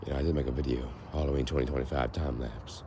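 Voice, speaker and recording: A young man with a raspy, hoarse voice, rambling to the camera as he walks outdoors in a casual vlog. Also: rumbling low, steady tone, fast. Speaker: vlogger. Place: outdoors, walking on a foggy street. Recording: noisy outdoor recording with passing traffic.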